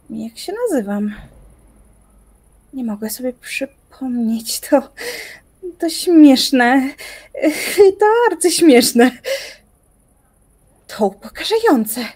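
A woman's voice: high voice, darkish tone, exaggerated voice. An actress playing an obsessive young woman musing aloud theatrically in a radio play. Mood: bewildered, distraught. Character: intense obsessive young woman, brooding philosopher. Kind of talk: radio play dramatic monologue.

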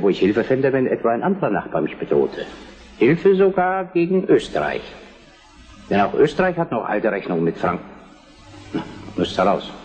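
A man's voice: fairly low pitch, a little mellow, natural voice. An actor playing a shrewd, self-assured Prussian statesman with formal, courtly delivery in a historical radio play. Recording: dull, muffled recording with long hall reverb.

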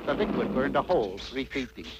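A man's voice: medium pitch, animated, fairly quick. A man narrating a scripted cartoon fairy tale in a wry, mock-dramatic storytelling manner. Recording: faintly noisy old cartoon soundtrack.

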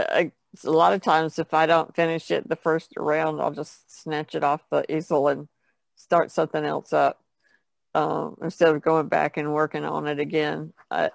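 This podcast guest talks casually in a very gravelly, creaking voice. The intonation stays quite flat, and the recording is dry. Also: mid-range voice, no room sound. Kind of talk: casual chat about painting.

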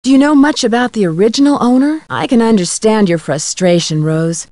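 An actress playing a polite, inquisitive young amateur detective in a video game. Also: female voice, on the high side, a little fast, warm tone, no room sound, studio quality, natural voice. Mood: curious, polite.

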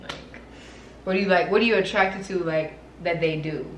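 A woman's voice: fairly low pitch, moderate pace, reverberant, a little hiss or hum, low-quality recording. A young woman from Jamaica chatting as a guest, asking blunt, teasing questions. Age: young adult. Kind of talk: casual chat. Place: small room against a plain wall.

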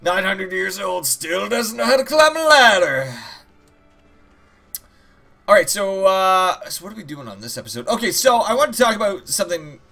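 Animated, bright-voiced man doing gameplay commentary. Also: sits high, moderate pace, gravelly. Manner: rambling, joking, sweary, mock-dramatic asides. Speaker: gaming video host. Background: game music.

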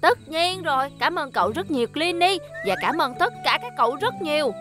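A woman's voice: sits high, very animated, fairly quick, over music. A woman voicing a young, sincere talking vehicle in a dubbed children's cartoon. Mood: grateful, tender.

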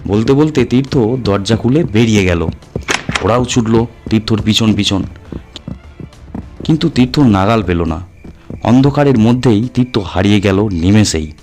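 A man's voice: on the low side, expressive, normal speed, darkish tone. A man reading a horror audio story as a narrator, in a dramatic scripted style. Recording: music under the voice. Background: background music.

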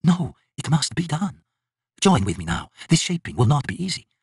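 A man performs an anguished elder god in a fantasy audiobook. The voice is husky, raised in pitch, grave and intense, as if passing judgement. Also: big pitch swings, no echo, clean studio sound, full character voice. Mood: grim resolve. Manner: slow, portentous, intense.

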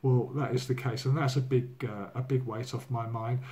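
Hoarse man in his sixties, candid home video diary, loose and rambling, camera mic. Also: medium pitch, steady tone, moderate pace, mellow. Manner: candid, rambling, many fillers. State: hoarse from a cold. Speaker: vlogger. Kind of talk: casual personal video diary. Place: living room at home. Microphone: laptop or phone camera at desk.

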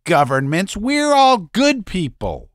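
A man's voice: high voice, huge pitch swings, slow pace, dry recording, studio quality, pitched above the performer's own voice, exaggerated voice. A man reading aloud, voicing a frantic little bearded man protesting his innocence.

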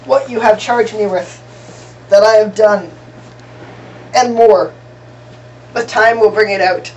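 A woman's voice: mid-range voice, slow pace, dull audio, close to an everyday voice. A woman playing a proud, defiant young male noble in amateur Shakespeare, formal and declamatory, recorded from a distance with noise. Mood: resigned. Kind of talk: amateur Shakespearean stage acting.

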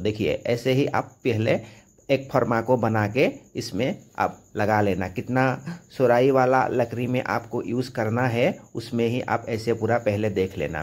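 A man's voice: fairly low pitch, darkish tone. A young man narrating an informal carpentry how-to, explaining each step with some repetition. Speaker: carpenter demonstrating on own channel.

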